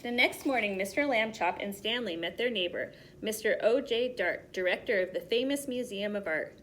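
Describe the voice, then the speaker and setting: A woman reading a children's story aloud expressively, pausing to explain things simply to young listeners. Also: mid-range voice, a bit bright, slight background noise.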